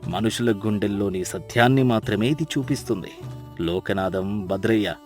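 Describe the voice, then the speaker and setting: A man playing a wise, stern village elder in a storybook tale, speaking with measured authority. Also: fairly low pitch, faint music behind, natural voice. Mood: awed, stern. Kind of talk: dramatised storybook dialogue.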